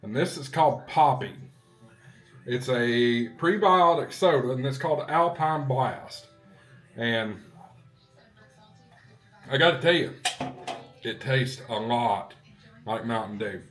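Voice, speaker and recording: Man, mid-pitched voice, casual vlog chat, halting short phrases, faint background music. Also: expressive. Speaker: vlog host, ham radio hobbyist.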